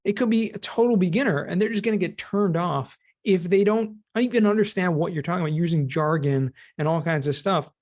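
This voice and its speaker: A man's voice: high voice, brisk, few pauses, darkish tone. A middle-aged man with an American accent, explaining things in plain words as a guest in a conversational interview. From California, United States. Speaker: podcast guest, cost segregation expert and former teacher.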